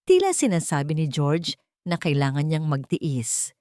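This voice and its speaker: A woman's voice: low-pitched, big pitch swings, a little slow, warm tone, no echo, clean studio sound. A woman narrating a scripted romance story in a dramatic storytelling style.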